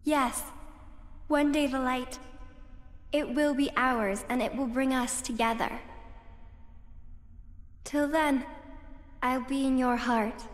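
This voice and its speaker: A woman playing a gentle, wistful, soft-spoken teenage girl in a video game cutscene. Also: sits high, fairly even intonation, slow pace, long pauses. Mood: reassuring.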